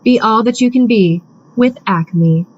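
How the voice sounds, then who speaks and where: An upbeat radio pitchwoman voiced by an actress sells her product in a brisk, salesy way. Her voice sits mid-pitch on a slightly dull, lo-fi track with a faint hiss underneath. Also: lightly acted. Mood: selling. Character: brisk female commercial pitchwoman. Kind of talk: radio commercial.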